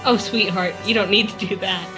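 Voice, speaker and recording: A woman's voice: mid-range voice, fairly even intonation, brisk, lightly acted. A woman reading the part of an affectionate, easily flustered boyfriend in a fan fiction, tender and a little exasperated, over music. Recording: music playing behind the voice.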